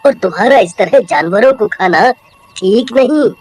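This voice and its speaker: A woman voicing a kind-hearted village man with a gentle, earnest manner, in a cartoon story. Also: mid-range voice, expressive, normal speed, breathy edge. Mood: concerned. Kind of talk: Hindi horror cartoon voice acting.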